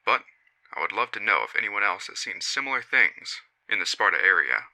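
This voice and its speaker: A man voicing a male witness who recounts his friends' strange encounter in a measured, puzzled and skeptical way. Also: fairly low pitch, big pitch swings, gravelly, bright, forceful tone, a little hiss or hum, exaggerated voice, rough recording. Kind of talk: first-person reading of a witness account.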